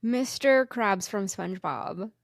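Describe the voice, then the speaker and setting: Woman co-host, mid pitch, upbeat and laughing, close dry recording. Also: takes it slowly, no echo. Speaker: podcast co-host, former cruise director. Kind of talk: casual podcast chat.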